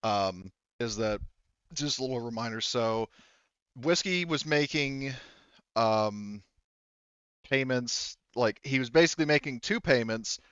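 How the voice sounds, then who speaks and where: A man running a tabletop roleplaying game as game master, explaining casually and haltingly, often repeating and rephrasing himself. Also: medium pitch, lively intonation, fairly bright tone, clean tone, no echo, highs cut off.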